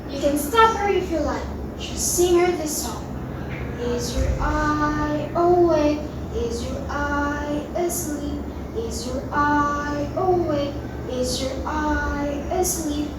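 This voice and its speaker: Kindly old storybook enchantress, played by a woman: high, slow, soothing delivery in an echoing space. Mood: reassuring. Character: kindly old woman, magical fairy-tale helper. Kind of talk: readers theater performance. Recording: echoey room, far from mic, noisy.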